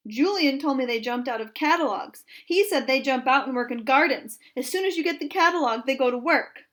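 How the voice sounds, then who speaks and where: A woman voicing an excitable, gullible little boy in a storybook, earnest and eager. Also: sits high, fairly quick. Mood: tearful.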